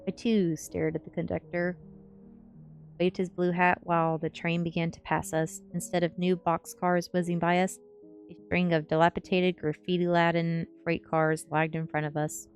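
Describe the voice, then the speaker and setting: A woman with a low-pitched voice narrates a story in an ASMR style. She reads from a novel as a streamer would for listeners, with faint music playing behind her. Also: little pitch movement, normal speed. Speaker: streamer reading a novel aloud.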